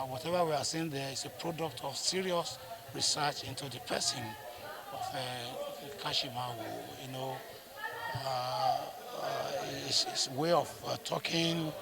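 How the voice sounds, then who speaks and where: A man with a Nigerian accent reflects on his craft as an actor. His mid-pitched voice has a gravelly creak, and he speaks deliberately, pausing and repeating phrases. A clear murmur of people sits behind him. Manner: deliberate, halting, frequent pauses and repeated phrases. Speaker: stage actor being interviewed. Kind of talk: reflective interview talk.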